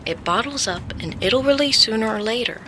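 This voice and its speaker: An actress playing a supportive, frank female friend in an audio drama, gentle but direct. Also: on the high side, expressive, brisk, noisy background, close to an everyday voice. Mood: concerned, gentle. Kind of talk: naturalistic audio drama acting.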